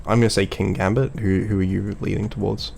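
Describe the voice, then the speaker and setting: A man's voice: lowish voice, level tone, fairly quick, gravelly, a little mellow, a little hiss or hum. A man hosting a casual, unscripted gaming chat, with a teasing manner and frequent chuckles. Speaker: host of a Pokémon draft analysis video.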